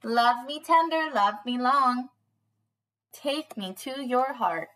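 A woman's voice: sits high, animated, slow, a bit bright, slight background noise. A young woman preschool teacher doing a read-aloud for small children in a bubbly, sing-song manner, recorded on a webcam in a small room. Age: young adult. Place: small panelled indoor room.

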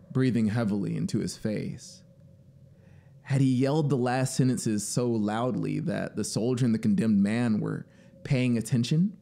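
A man in his thirties narrating a story in a deliberate, theatrical style on a close studio mic. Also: fairly low pitch, creaky, dark tone, slight background noise. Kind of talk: dramatic scripted story narration. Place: small home music studio, indoors. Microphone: close studio mic on boom arm. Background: ambient synthesizer soundscape.

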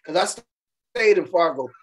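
A middle-aged man with a slightly high voice co-hosts a podcast over a webcam call. He tells stories in a relaxed, chatty way, often dropping "you know what I'm saying" and "man." His talk is loose and conversational. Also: slow. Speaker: podcast co-host. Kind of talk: casual interview chat. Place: indoor room with virtual backdrop. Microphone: webcam on a video call.

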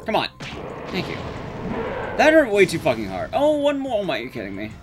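A man's voice: high-pitched, wildly swooping pitch, a little fast, background noise. A young man giving casual, sweary, self-deprecating live commentary as he speedruns a video game. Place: small room at home, game sound behind.

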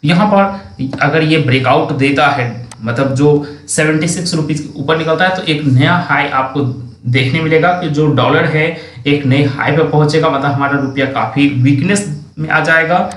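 A man's voice: on the high side, a bit bright. Man talking through stock charts, echoey. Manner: explanatory, restarts and self-corrections, drawn-out words. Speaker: stock market analyst. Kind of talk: screen-share chart analysis. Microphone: voice-over with screen recording.

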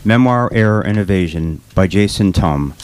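A man's voice: deep voice, expressive, normal speed. A man formally reading out citations as part of a staged reading in a bookshop. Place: bookshop event before a seated audience.

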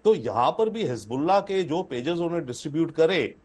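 A man's voice: a little high, expressive, fairly quick, darkish tone, breathy edge. A man in his sixties with an Indian accent giving analytical commentary over a video call, repeating points for emphasis and mixing in English phrases. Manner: mixes English phrases into Hindi, emphatic repetition. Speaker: foreign affairs expert guest.

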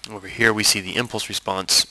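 A man narrating a software tutorial as an instructor, explaining things step by step. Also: lowish voice, level tone, fairly quick, poor audio.

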